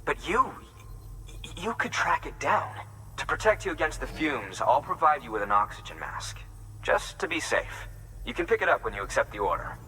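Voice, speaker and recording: An actor playing an irritable, skeptical scientist in a video game, blunt and sardonic in manner. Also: male voice, a little high, very animated, normal speed, pushed and bright, a little hiss or hum, rough recording. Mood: irritated. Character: irritable male scientist, skeptical and fussy, eager about fossils. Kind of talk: video game character dialogue.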